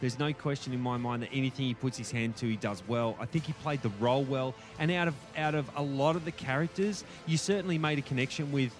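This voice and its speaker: A man's voice: medium pitch, quick pace, hardly any pauses, faint music behind. A man co-hosting a casual film review podcast, talking in a thoughtful, rambling, hedging way. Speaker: podcast co-host reviewing films. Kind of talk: casual movie review chat.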